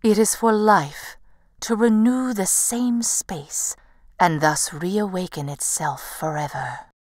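A woman voicing a wise, radiant lady of the dawn in a storybook tale, gentle, measured and solemn. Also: mid-range voice, dry recording, clean studio sound, lightly acted. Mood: serene.

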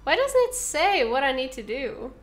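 A woman's voice: high voice, very animated, moderate pace, faint music behind. A young woman streamer, a little sick and congested, chatting casually and reading out her chat. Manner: bubbly, chatty, reads chat aloud.

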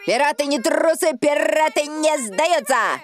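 A woman's voice: sits high, lively intonation, a little slow. A woman voicing a bold, swaggering tomboy girl obsessed with pirates in a cartoon dub. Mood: bold, defiant. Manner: swaggering, emphatic.

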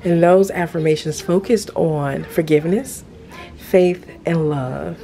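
A woman's voice: deep voice, measured pace, creaky. A middle-aged woman chatting casually as a lifestyle vlogger, recorded in a home kitchen on a camera microphone. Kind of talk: casual vlog chat. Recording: steady ambient noise behind voice.

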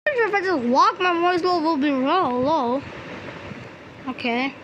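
High-pitched gamer with noisy background. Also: slow, highs cut off. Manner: reacts aloud, repeats words, exclaims. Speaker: gaming video host. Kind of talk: excitable horror game commentary. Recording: clear background noise.

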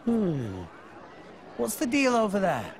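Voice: medium pitch, huge pitch swings, slow pace, a faint crowd, exaggerated voice. An actor playing a curious, naive teenage boy adventurer in a video game, earnest and questioning. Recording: music behind the voice.

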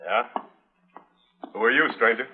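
A man's voice: medium pitch, expressive, a little slow, slightly rough. An American man acting a menacing, mocking cattle rancher in a 1950s radio western drama. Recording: muffled, noisy old radio broadcast.

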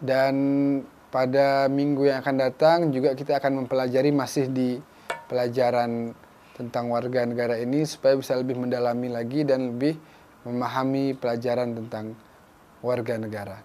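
A young man with an Indonesian accent teaching a televised beginners' Arabic lesson, repeating phrases slowly and explaining each one. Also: mid-range voice, little pitch movement, dark tone, slight background noise. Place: small dark studio with whiteboard.

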